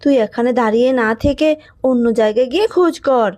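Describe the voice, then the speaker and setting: Casting: woman as greedy cartoon landlady, high voice, curt, dismissive, unfeeling. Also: expressive, quick pace, a little mellow. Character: greedy, unfeeling landlady refusing her maid shelter. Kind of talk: Bengali cartoon dub.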